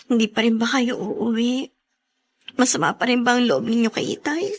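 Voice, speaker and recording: A troubled younger sister in a radio drama. She is a grown woman who speaks earnestly and in a subdued way, with quiet determination. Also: fairly high pitch, moderate pace. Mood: quietly determined. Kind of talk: Tagalog radio drama acting.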